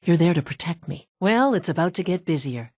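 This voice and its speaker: A woman's voice: fairly low pitch, animated, a little fast, a little mellow, no echo. A woman playing a brusque, dry-witted scientist from an underground institute in a video game, businesslike and wry. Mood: businesslike, urgent, then dry, curt. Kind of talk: video game character dialogue.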